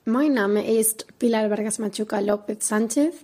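A woman in her twenties, a native Spanish speaker, reading a prepared talk in German as a student presenter, slowly and carefully. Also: on the high side. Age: 20s.

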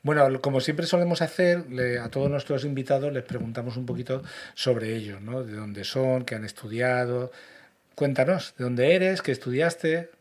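A man hosts a radio program in a relaxed, chatty way. He often stumbles and repeats words as he introduces the guests. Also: mid-range voice, moderate pace. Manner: friendly, hesitant, repeats words. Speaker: radio host. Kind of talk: casual radio interview.

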